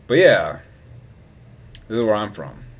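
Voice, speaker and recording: A young man from Wisconsin talks. He streams live and rambles casually between asides. He laughs often, and his volume swings between loud bursts and quiet mumbling. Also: fairly low pitch, big pitch swings, measured pace, husky. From Wisconsin, USA.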